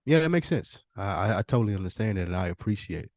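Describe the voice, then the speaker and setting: A man's voice: on the low side, sing-song, fast, darkish tone, dry recording. Candid, self-effacing man hosting a podcast, dry sound. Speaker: podcast host. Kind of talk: casual, candid podcast conversation.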